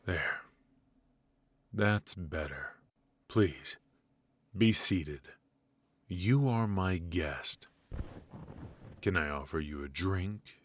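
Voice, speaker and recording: A man playing a suave, menacing mafia boss in a noir ASMR roleplay, measured and mock-courteous, with a husky voice. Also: low voice, expressive, a little hiss or hum, full character voice. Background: faint music.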